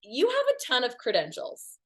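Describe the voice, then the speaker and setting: A woman's voice: fairly high pitch, huge pitch swings, normal speed, a bit bright. A woman hosting a casual interview, upbeat and playfully teasing her guest. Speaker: interview host.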